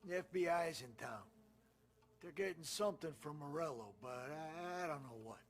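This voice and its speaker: An actor playing a sly, slangy street informant who haggles, in a video game cutscene. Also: male voice, fairly high pitch, normal speed, lightly acted. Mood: confiding. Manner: teasing, slangy.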